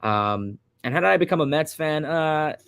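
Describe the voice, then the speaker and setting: A man's voice: fairly high pitch, expressive, normal speed, gravelly, a bit bright, clean tone, dry recording. A man in his early twenties from Long Island, New York, hosting a casual livestream and answering viewer questions. He rambles and repeats words, with lots of fillers. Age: 20s. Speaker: livestream host.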